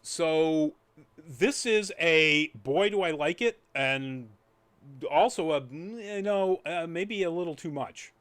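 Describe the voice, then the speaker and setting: A man's voice: a little high, animated, slow pace, fairly bright tone, clear, resonant tone. A man giving a casual, rambling spoken review as a podcast host, trailing off into asides.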